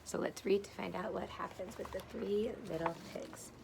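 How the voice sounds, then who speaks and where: A woman's voice: mid-range voice, steady tone, moderate pace, gravelly. Woman teacher reading aloud outdoors, faint noise. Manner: simple, explanatory, addressing children. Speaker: kindergarten teacher. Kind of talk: read-aloud of a picture book for young children. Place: outdoors on a porch.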